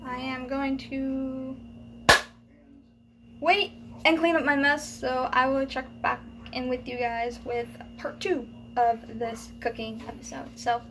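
High-voiced teen girl vlogging in kitchen. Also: fairly even intonation, takes it slowly. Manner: hesitant, chatty, trailing off mid-sentence. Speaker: home cooking vlogger. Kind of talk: casual cooking demonstration. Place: small home kitchen, indoors. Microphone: phone camera on the counter. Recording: home video with background noise.

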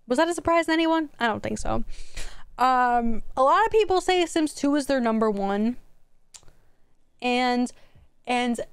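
A young woman streamer talks into a boom-arm mic at home, her higher voice smooth and crisp despite getting tired from a long stream. She rambles chattily, restarting sentences as she goes, and the sound is dry and close. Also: no echo. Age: young adult. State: voice going hoarse from a long stream. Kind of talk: casual stream commentary. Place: home streaming room, indoors. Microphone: studio mic on a boom arm.

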